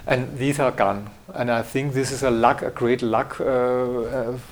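A man's voice: mid-range voice, fairly even intonation, measured pace, a little hiss or hum. German man searching for English words. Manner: hesitant, searching for English words, many fillers and restarts. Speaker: graphic designer interviewee. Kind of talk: interview answers.

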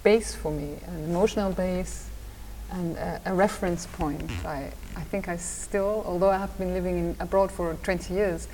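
A woman's voice: on the low side, steady tone, normal speed, darkish tone. Woman, Austrian accent, fifties, hesitant restarts, reverberant room, faint background hum. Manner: hesitant, with frequent repeated words and restarts. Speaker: designer and design teacher. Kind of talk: conversational interview answers.

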